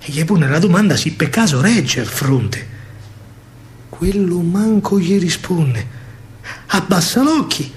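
A man's voice: high voice, animated, slow, long pauses. A man with a Roman accent, a stage actor telling a story in dramatic, rhythmic dialect verse. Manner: theatrical, rhythmic delivery.

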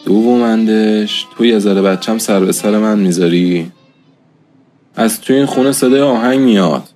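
A man acting a gruff street tough in a nostalgic radio play. His voice is low and dark, his talk slangy, irritable and mock-threatening. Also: faint music behind, natural voice. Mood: irritable, blustering.

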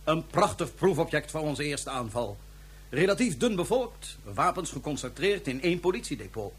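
A smug would-be emperor of the solar system, pitched on the high side and swooping theatrically from line to line. The delivery is pompous and mockingly polite, with a slightly husky edge, in the manner of a science fiction radio play. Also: male voice, big pitch swings, normal speed. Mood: grandiose gloating. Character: smug megalomaniac dictator plotting to seize the solar system. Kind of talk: radio drama acting.

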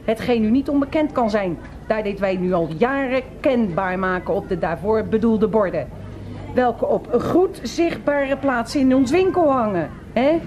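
An actress playing an officious, unbending store detective in a radio play, speaking pompous, long-winded officialese. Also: female voice, a little high, lively intonation, normal speed, a little mellow, lightly acted. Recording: radio drama with faint background noise.

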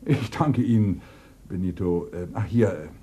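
An actor playing an older police inspector in a radio crime play, sardonic and probing, with hesitant, feigned politeness. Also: male voice, deep voice, a little slow, dark tone, slight background noise. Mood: politely probing. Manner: hesitant, probing, dryly teasing.

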